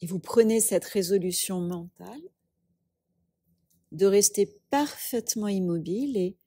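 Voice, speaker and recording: A woman guiding a yoga nidra meditation as a teacher, calm and unhurried, in soft breathy short phrases with long pauses. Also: medium pitch, no echo. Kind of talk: guided meditation.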